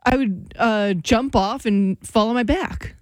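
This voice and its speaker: Woman, 30s, radio co-host, easygoing, fairly high voice, dry studio sound. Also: unhurried, no echo. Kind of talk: casual radio chat. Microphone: studio microphone.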